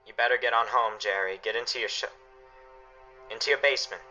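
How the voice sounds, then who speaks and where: An actor playing a stern, anguished father guarding his family, speaking gravely and emphatically in a radio play. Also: medium pitch, quick pace, sharp tone, dull audio, soft background music. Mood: firm, curt. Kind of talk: dramatic radio play acting.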